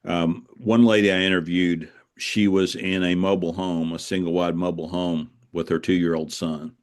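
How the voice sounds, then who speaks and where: A man being interviewed as an author, telling stories in a reflective, conversational way, earnest and halting, repeating phrases for emphasis. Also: low-pitched, little pitch movement.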